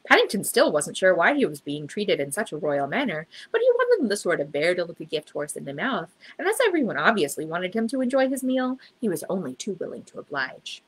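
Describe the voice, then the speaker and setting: Female storybook narrator, slightly low register, very animated, a husky grain to the voice. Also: a little fast, hardly any pauses, rough recording. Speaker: storybook reader. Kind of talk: expressive children's story narration.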